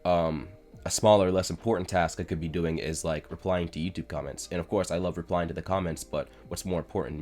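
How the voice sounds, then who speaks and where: A man's voice: deep voice, a little mellow. A man with a head cold and a stuffed-up voice, talking casually to camera in a self-help explainer vlog, often saying "like" and "you know.". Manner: conversational, frequent "like" and "you know" fillers. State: congested from a cold. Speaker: self-improvement YouTuber.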